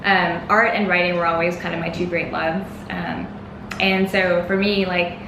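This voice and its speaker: A woman's voice: on the low side, level tone, normal speed. A young woman, a guest chatting casually in an interview, laughing often and filling her talk with "like" and "um". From United States. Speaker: guest, designer. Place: restaurant or eatery. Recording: roomy, noisy background.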